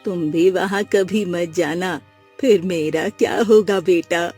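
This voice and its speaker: A woman's voice: fairly low pitch, takes it slowly, a little mellow, slightly rough, soft background music. A woman playing an anxious village mother in a melodramatic cartoon story, pleading emotionally with her grown son. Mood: worried, pleading.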